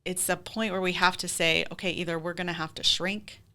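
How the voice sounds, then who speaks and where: A woman's voice: fairly low pitch, steady tone, fairly quick, bright, forceful tone. A middle-aged woman answering interview questions as a community theater leader, speaking in an earnest, heartfelt, conversational way.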